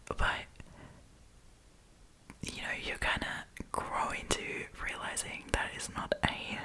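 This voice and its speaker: A woman speaking in a breathy, unvoiced whisper as an ASMR host, reading stories and commenting in a chatty, informal, opinionated way. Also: slow pace.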